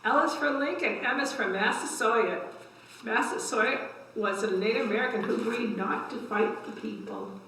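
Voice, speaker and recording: An older woman reads children's books aloud in a bright, fairly high voice. She adds simple explanations and questions for young listeners. The room is very echoey and she sounds a little way from the mic. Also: moderate pace, a little hiss or hum. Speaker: library story time host. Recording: echoey room, distant microphone.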